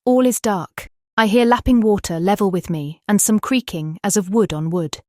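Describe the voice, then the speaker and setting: A gentle young woman speaks as if entranced, in slow, dreamy phrases performed by an actress. It is gothic audiobook drama, recorded very dry with no room around the voice. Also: medium pitch, no echo, natural voice. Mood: entranced, eerie. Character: gentle young married woman in hypnotic trance.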